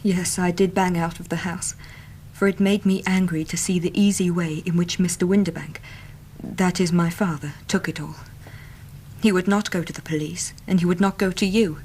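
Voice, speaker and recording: An actress plays a young Victorian working woman in an English radio drama. Her voice sits fairly low, and she talks in an earnest, chatty, plain-spoken way. Faint noise lies behind her. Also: fairly even intonation, moderate pace, darkish tone, natural voice, poor audio. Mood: indignant, candid. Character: earnest, talkative young female Victorian typist seeking help.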